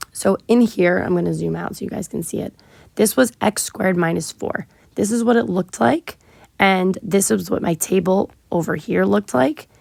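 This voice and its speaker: Sick teacher lady, hoarse and gravelly, lowish voice, dry close sound, explains step by step with lots of ums. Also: a little mellow, dry recording. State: sick, partly lost voice. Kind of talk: recorded math lesson walkthrough.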